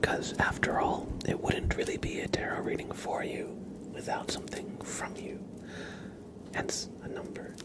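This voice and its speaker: Very slow, whispering ASMR streamer of unclear sex. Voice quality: breathy whisper with creaky undertone. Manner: slow, gentle, polite, thanking and welcoming viewers. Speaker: ASMR livestream host. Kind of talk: ASMR, whispered live chat with viewers. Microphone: close sensitive ASMR microphone.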